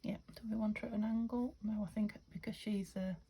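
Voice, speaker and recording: A woman from the UK chatting through a craft-along, thinking aloud and often dropping to a half-whisper, her voice a little croaky. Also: mid-range voice, steady tone, a little fast, mellow. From United Kingdom. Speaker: craft vlogger. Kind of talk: casual craft-along chat.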